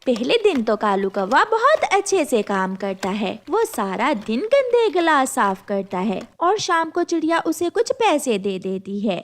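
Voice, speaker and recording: A woman's voice: high-pitched, big pitch swings, a little slow, few pauses. A woman reading scripted narration for a children's cartoon story.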